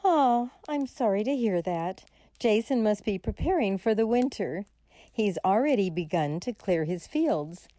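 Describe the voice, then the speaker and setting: A woman playing a gentle, doting farm mother in a radio drama, with a soft, nurturing manner. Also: mid-range voice, animated, a little slow, dry recording, lightly acted. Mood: caring. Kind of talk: horror radio drama acting.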